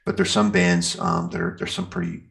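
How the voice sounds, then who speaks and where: Very deep, gravelly casual man. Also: big pitch swings, brisk, fairly bright tone. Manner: enthusiastic, informal, rambling. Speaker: guest, metal band singer and guitarist. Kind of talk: casual chat, telling band anecdotes.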